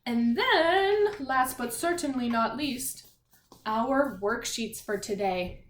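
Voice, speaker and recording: A woman teacher talking young children through a read-aloud and math lesson in an animated, encouraging, sing-song way. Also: a little high, takes it slowly. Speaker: primary school math teacher. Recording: echoey room, faint background noise.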